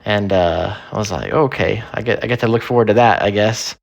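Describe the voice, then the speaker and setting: A man's voice: fairly low pitch, fairly quick, vocal fry. A man being interviewed, casually recalling his own experience in everyday speech. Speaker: interviewee, former trainee. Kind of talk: casual first-person recollection.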